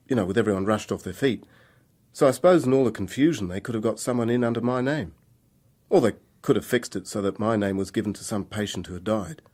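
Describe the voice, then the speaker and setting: A man's voice: mid-range voice, a little mellow, close to an everyday voice. An actor in a radio drama playing a troubled young man on the run, speaking in a hesitant, confessional way.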